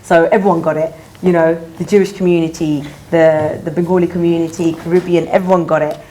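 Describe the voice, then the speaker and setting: A woman speaking informally on a panel at a live event, explaining things and often clearing her throat. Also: fairly low pitch, level tone, a little fast, dark tone, low-quality recording. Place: indoor public exhibition discussion. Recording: background noise of live event.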